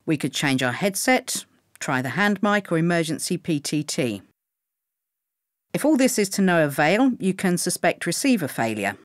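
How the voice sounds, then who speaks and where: A woman reading scripted instructional narration for pilot training in a measured, clearly enunciated way. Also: on the low side, sing-song, dry recording, studio quality.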